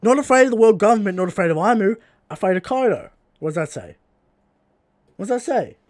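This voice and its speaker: A man's voice: high-pitched, big pitch swings, quick pace, a little mellow, no room sound, clean studio sound. A man with an Australian accent, co-hosting a podcast in casual chat, blunt and swearing freely as he rants. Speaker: podcast co-host.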